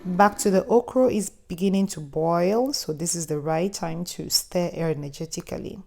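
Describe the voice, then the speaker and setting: Friendly Ghanaian woman with a mellow voice. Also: fairly low pitch, moderate pace, vocal fry. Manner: friendly, instructive, chatty asides. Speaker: cooking channel host. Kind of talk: cooking tutorial voice-over.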